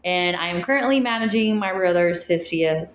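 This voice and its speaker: Woman co-host in her thirties, from Mexico, lowish voice, deadpan and blunt, echoey room with faint hiss. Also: normal speed. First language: Spanish. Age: 30s. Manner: dry, joking, blunt. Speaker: podcast co-host. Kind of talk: casual chat.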